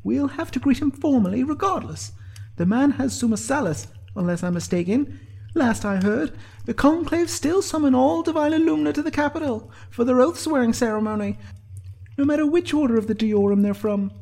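Casting: shrewd fantasy healer woman, played by a male narrator, raised pitch, assertive, sardonic storybook delivery. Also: animated, fairly quick, gravelly, darkish tone, heavily acted. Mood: serious, assertive. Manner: dry, assertive, needling. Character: sharp, confident female healer, shrewd and sardonic.

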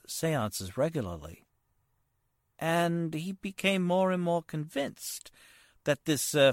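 A man playing a polite, hesitant, worried nephew in 1940s radio drama style, earnest and formal, halting as he speaks. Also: a little high, dry recording, clean studio sound.

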